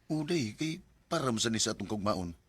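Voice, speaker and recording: A low-voiced, earnest young Bisaya suitor. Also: male voice, sing-song, fairly quick, a bit bright, slightly rough, no room sound, full character voice. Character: Jason, earnest eager young suitor and husband. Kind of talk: Bisaya radio drama acting.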